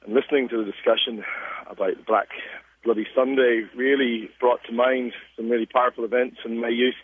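A man's voice: medium pitch, flat delivery, a little fast, phone-quality sound, a little hiss or hum. A man in his forties with a Northern Irish accent, leaving an earnest, reflective voicemail as a caller. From Northern Ireland. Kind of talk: voicemail message.

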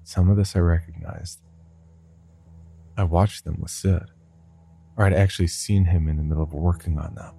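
A man's voice: low voice, steady tone, normal speed, vocal fry, pauses often, dark tone, slight background noise. A man narrating a scripted first-person horror story for a podcast.

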